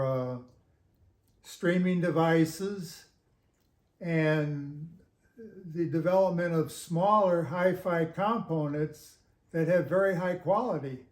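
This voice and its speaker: A man in his seventies giving casual interview answers in a halting, measured way with frequent pauses. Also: on the high side, darkish tone. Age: 70s. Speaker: guest reviewer of audio gear. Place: home living room with hi-fi gear. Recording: echoey room.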